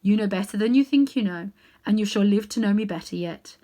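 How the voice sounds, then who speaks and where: A woman reading aloud and voicing a majestic, kind male lion who speaks in a solemn, measured, commanding way. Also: medium pitch, mellow, close to an everyday voice.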